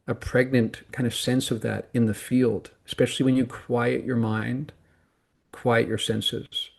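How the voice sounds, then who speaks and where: A man guiding a meditation as a teacher, speaking calmly and deliberately in short phrases with pauses between them. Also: medium pitch, vocal fry. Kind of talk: guided meditation instruction.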